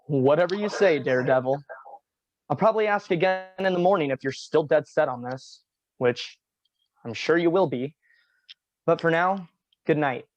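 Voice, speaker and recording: A man reading a quiet, practical young manager in a virtual staged reading, with a dry, exasperated delivery. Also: a little high, moderate pace, pauses often, dry recording, lo-fi sound, natural voice. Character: quiet, practical male manager in his twenties. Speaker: also reads stage directions. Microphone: video call.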